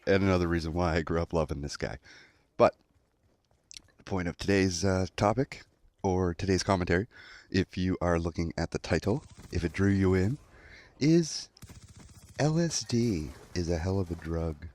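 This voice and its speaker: A man's voice: sits low, normal speed, long pauses, darkish tone, dry recording. A young adult man telling a story in a casual commentary style, acting out quotes as he goes. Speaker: online storyteller.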